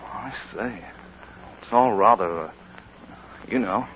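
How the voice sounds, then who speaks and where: An actor playing a timid, bumbling young suitor in a 1940s radio drama, stammering politely. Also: male voice, on the low side, slow. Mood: sheepish. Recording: tinny, noisy 1940s radio broadcast.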